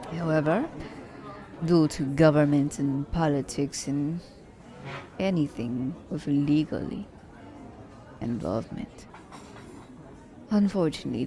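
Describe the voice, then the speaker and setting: This is a poised female assassin, acted out by a woman for an ASMR romance roleplay. Her voice sits deep for a woman, with a dark, relaxed tone. She phrases things formally and teases her captive with doting condescension. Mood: calm, explaining. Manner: formal, slightly stilted wording, teasing. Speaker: voice actor.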